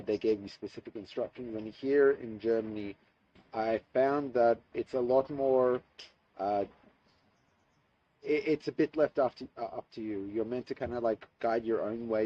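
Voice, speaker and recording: A young man, answering interview questions about his studies in a thoughtful, hesitant way, with many fillers and restarts. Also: lowish voice. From Australia. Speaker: interview guest, archaeology student. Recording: distant mic, muffled lo-fi sound.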